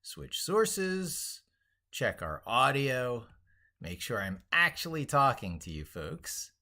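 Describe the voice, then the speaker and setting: A man's voice: mid-range voice, very animated, slow, sharp tone. A man hosting a casual, unscripted livestream, rambling as he thinks aloud. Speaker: livestream host.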